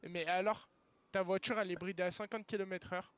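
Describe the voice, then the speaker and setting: A man's voice: high-pitched, steady tone, brisk, fairly bright tone, muffled recording. A man arguing bluntly and in exasperation in online game voice chat, as the server's founder. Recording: clearly noisy game voice chat.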